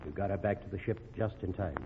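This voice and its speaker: An actor playing a grave, protective ship's doctor, measured and authoritative, in old radio drama style. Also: male voice, low voice, over music, natural voice. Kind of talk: 1940s radio drama acting. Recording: noisy, reverberant, tinny old radio recording.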